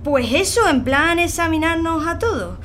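An actress playing a chatty, nervy young student learner driver with slangy speech, in a naturalistic audio drama. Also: female voice, extremely high pitch, animated, moderate pace, fairly bright tone, a little hiss or hum. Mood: chatty, flustered. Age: young adult.

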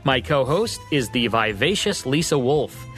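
A man's voice: mid-range voice, lively intonation, measured pace, a bit bright, faint music behind. A man with an American accent presenting scripted history narration as a podcast co-host.

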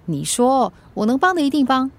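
An actress playing a caring, gently nagging friend in a radio drama, reassuring and solicitous, with a Singaporean accent. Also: female voice, high voice, big pitch swings, normal speed, exaggerated voice. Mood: concerned, helpful.

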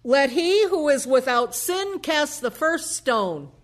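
A woman playing a devout, defensive elderly mother in an audio play, gentle and pleading. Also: high-pitched, lively intonation, takes it slowly. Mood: indignant.

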